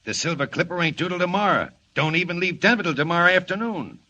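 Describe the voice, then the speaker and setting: A man's voice: high-pitched, animated, quick pace, bright, forceful tone, highs cut off. Jumpy, swooping American gangster henchman. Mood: uneasy, questioning. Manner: slangy, uneducated tough-guy speech. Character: nervous, rough gunman henchman, questioning his boss. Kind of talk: 1940s radio acting. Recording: noisy old 1940 radio recording.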